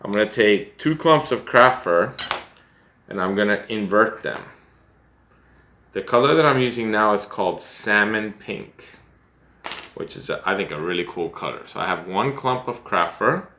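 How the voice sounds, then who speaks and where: A man's voice: fairly low pitch, expressive, normal speed, sharp tone, a little hiss or hum. A middle-aged man in a small room, talking through a fly-tying tutorial step by step in a chatty, self-deprecating way and thinking aloud as he works. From United States. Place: small room at home, seated at a desk.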